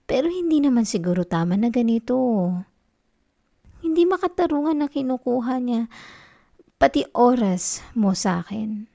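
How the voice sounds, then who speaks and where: A woman playing a needy, affectionate wife in an intimate ASMR roleplay, with a Filipino accent and a soft, coaxing, pouty delivery. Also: a little high, animated, moderate pace, vocal fry, pauses often. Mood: scolding, concerned. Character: needy, affectionate wife, sulky yet tender and teasing.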